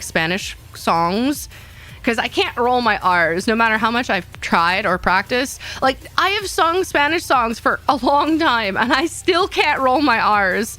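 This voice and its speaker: A woman's voice: a little high, pushed and bright. A young woman streaming casually, rambling and teasing herself, with many fillers and laughs. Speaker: VTuber streamer.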